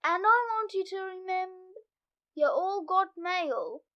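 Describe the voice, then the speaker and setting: A reader as a high-voiced, patient daddy frog. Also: animated, slow pace, lo-fi sound, exaggerated voice. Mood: instructing. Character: patient, slightly fussy father frog.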